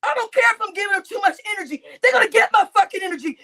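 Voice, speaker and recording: A woman livestreamer, crying as she rants at her chat, shouting with heavy swearing and repeating her outbursts. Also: very high voice, lively intonation, rapid-fire. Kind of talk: angry livestream rant to chat.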